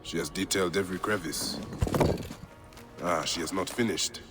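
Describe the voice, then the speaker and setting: Man playing a deep-voiced, stern Egyptian avenger. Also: moderate pace, over loud music, close to an everyday voice. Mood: grave, pondering. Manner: terse, grave, wry. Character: stern male ancient Egyptian avenger, impatient, investigating. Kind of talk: video game cutscene acting.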